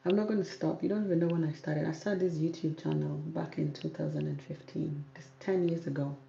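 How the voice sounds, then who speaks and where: Firm woman with a dark gravelly voice. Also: low-pitched, fairly even intonation, brisk. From South Sudan, raised in Kenya. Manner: firm, repeats herself for emphasis. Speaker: YouTube hair channel host. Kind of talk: livestream Q&A reading viewer comments. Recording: roomy, dull audio with faint noise.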